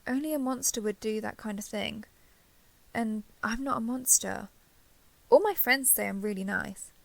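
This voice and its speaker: A young woman playing a caring giant dragon girl in an intimate audio roleplay, speaking to the listener in a gentle, reassuring, slightly hesitant way. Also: fairly high pitch, normal speed, gravelly, no echo. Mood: reassuring, apologetic.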